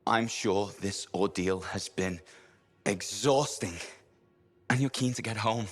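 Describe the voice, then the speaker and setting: A man's voice: fairly low pitch, takes it slowly, soft background music. A man voicing a fussy, pompous male noble who loves gossip, in a video game cutscene.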